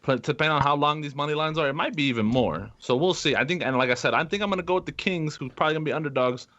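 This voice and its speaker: A man's voice: a little high, very fast, bright, forceful tone, dull audio. A man co-hosting a sports podcast, chatting casually about betting.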